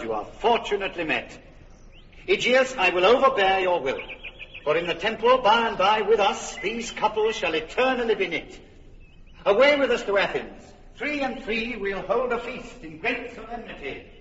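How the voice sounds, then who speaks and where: A stately, decisive duke who speaks with a lively, rising and falling authority. The recording is muffled and reverberant, like old broadcast radio. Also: male voice, sits high, moderate pace.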